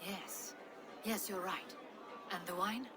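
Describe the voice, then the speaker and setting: A woman's voice: medium pitch, fairly even intonation, takes it slowly, crowd noise, lightly acted. An actress playing an earnest, loyal noblewoman of Viking-age England in a video game, solemn and clipped. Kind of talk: cinematic video game acting.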